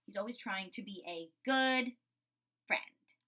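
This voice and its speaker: A woman teacher reading a story aloud to children and commenting on it, expressive, often checking in with her listeners. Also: medium pitch, slow pace. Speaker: school teacher.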